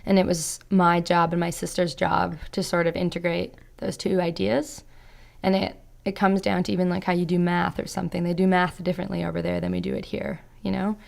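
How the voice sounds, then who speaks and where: A young woman with heavy vocal fry. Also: on the low side, little pitch movement, fairly quick. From Canada. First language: Serbo-Croatian. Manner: reflective, frequent "like" and "you know". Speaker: podcast guest. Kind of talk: casual interview chat.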